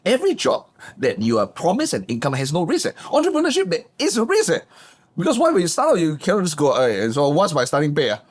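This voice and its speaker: A man, a guest business coach telling his backstory in a lively, emphatic way. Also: fast. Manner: animated, emphatic, swings pitch up for punchlines. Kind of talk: casual interview storytelling.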